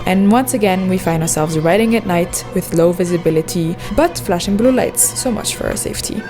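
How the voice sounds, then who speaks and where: A woman's voice: on the low side, fairly quick. Wry woman narrating a voice-over. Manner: wry, sarcastic. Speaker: travel vlogger. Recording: music bed under voice.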